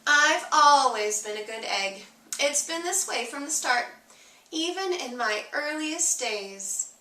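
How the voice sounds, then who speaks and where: A woman voicing an earnest, eager-to-please egg who tells a storybook tale, with expressive, emphatic delivery. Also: high voice, slow pace, roomy sound, far from the mic, voice pushed up, full character voice, rough recording.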